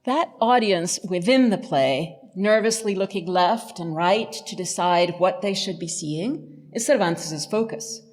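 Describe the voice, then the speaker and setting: A woman professor giving a literary lecture in an articulate, measured, academic manner. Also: on the low side, a little mellow, soft background music. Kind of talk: illuminated lecture, literary analysis.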